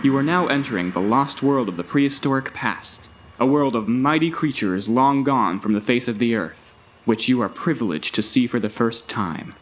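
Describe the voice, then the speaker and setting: Man acting as grand, animated theme park tour narrator. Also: medium pitch, normal speed, slight background noise, lightly acted, rough recording. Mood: grand, informative. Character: recorded tour-guide narrator of a dinosaur park. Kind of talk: scripted documentary-style tour narration.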